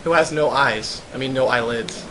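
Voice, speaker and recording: A man's voice: medium pitch, moderate pace, background noise. A man giving casual, joking let's play commentary with wry riffing.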